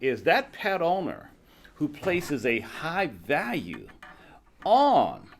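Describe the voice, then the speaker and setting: A man's voice: fairly high pitch, wildly swooping pitch, slow, a little hiss or hum. A man hosting an interview, coaching his guest on branding and explaining with everyday examples. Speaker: podcast host and branding coach. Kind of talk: casual interview chat.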